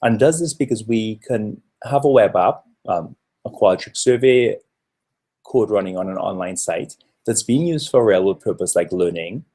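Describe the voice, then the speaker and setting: A man's voice: on the low side, a little mellow, breathy edge. A man in his thirties from Trinidad giving an earnest, explanatory conference presentation with occasional fillers. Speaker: researcher presenting a talk.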